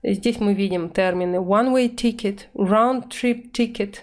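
A woman in her thirties giving an online vocabulary lesson, talking friendly and steady. She slows right down to read the example phrases aloud, then explains them at a natural pace. Her voice sits at an ordinary middle pitch for a woman. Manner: explains in Russian, reads English phrases slowly. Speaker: English teacher. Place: indoor room, webcam in corner of slides.

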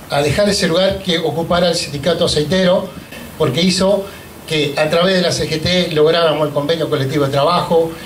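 Argentine guy in his 50s giving a thank-you speech at a podium mic, kinda high voice, big echoey hall, bit of noise. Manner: emotional, grateful, halting phrasing. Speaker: union leader giving a speech. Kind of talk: public speech at an inauguration. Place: large event hall, indoors. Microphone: handheld microphone on a stand.

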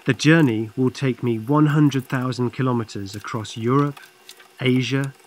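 An upbeat, earnest man in his forties talks through a crowdfunding appeal with steady enthusiasm. His voice sits at an ordinary middle pitch, and clear music plays underneath. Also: normal speed. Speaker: long-distance cyclist raising funds. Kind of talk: crowdfunding appeal, mix of voice-over and to-camera talk. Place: outdoors in a city park.

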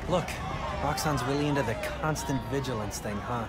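An American man playing an excitable, loyal teenage best friend in a video game. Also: mid-range voice, fairly quick, heavy background noise, lightly acted. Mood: upbeat, teasing. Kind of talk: video game cutscene acting. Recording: loud music and street crowd behind.

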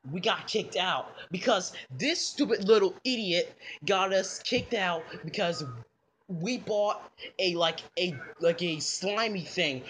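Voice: medium pitch, a little hiss or hum, close to an everyday voice. A performer voicing a hesitant Koopa mentor in a toy skit, stammering and repeating as they complain and make excuses.

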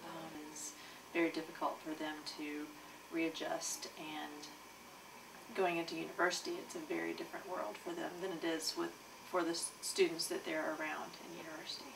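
Low flat-voiced woman, distant mic. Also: gravelly. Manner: measured, hesitant with fillers. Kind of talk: documentary interview. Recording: distant mic with background noise.

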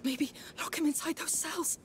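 Actress as defiant young game heroine, very high and urgent, breathy with strain, over loud background noise. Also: female voice, steady tone, normal speed, natural voice. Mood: urgent, frightened. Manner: urgent, muttering to herself between shouts. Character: Amicia, defiant, hunted young woman protecting her brother. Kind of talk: dramatic video game acting.

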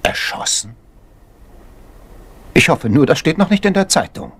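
An actor playing a stiff, self-important upper-class gentleman politician, formal and clipped, in a German crime radio drama. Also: fairly high pitch, very animated, unhurried, breathy edge, heavily acted. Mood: shocked.

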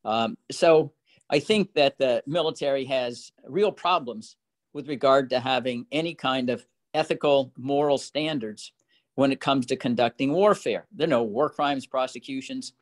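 An older man in his sixties speaking deliberately, with frequent fillers, on a video call from a small room at home. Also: mid-range voice. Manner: measured, deliberate, with many "uh" fillers. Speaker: panelist. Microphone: laptop webcam on a video call.

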